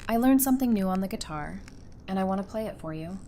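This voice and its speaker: A woman's voice: mid-range voice, lively intonation, fast, mellow, a little hiss or hum, lightly acted. Woman acting a playful, teasing young survivor. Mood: warm, eager. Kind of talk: fan audio drama acting.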